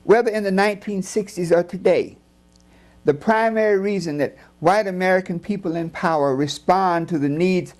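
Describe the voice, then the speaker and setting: A somewhat high-voiced man narrates a nonfiction audiobook as its author. He reads deliberately and gravely, leaning on key words like an orator. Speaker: author reading from own book. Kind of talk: scripted narration, audiobook reading.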